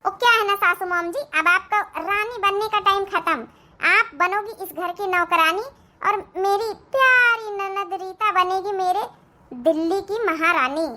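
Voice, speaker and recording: A woman acts out a rich young city daughter-in-law in a cartoon. Her voice is very high and bright. She talks with sugary mock politeness and firm, sassy confidence. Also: unhurried, heavily acted. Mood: sassy, defiant. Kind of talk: Hindi moral-story cartoon acting.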